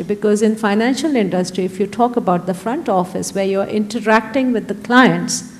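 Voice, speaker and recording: A woman's voice: medium pitch, darkish tone, in a large hall. A woman speaking as a panelist in a discussion, making her points earnestly and stacking them in emphatic lists. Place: event hall.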